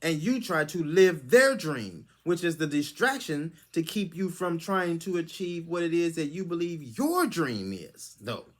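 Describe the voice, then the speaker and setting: Man, higher-pitched voice, solo commentary, lecturing tone, rhetorical questions. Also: big pitch swings, a little slow, hardly any pauses. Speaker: channel host. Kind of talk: preachy monologue commentary.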